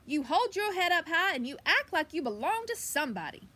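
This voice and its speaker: Woman playing a folksy, bright-voiced grandmother. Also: very high voice, big pitch swings, fairly quick, pitched above the performer's own voice, full character voice. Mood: firm, fond. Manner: firm, folksy, advising. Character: loving, cautious, folksy grandmother.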